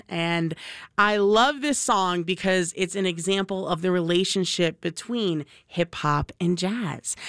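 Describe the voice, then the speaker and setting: A woman's voice: on the low side, clear, resonant tone, no room sound. A woman hosting a late-night jazz radio show, talking in an enthusiastic, conversational way from a studio. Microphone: close studio mic.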